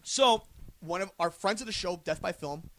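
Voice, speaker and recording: A man's voice: high-pitched, normal speed, fairly bright tone. A man co-hosting a podcast, talking casually about film and pop culture. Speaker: podcast co-host.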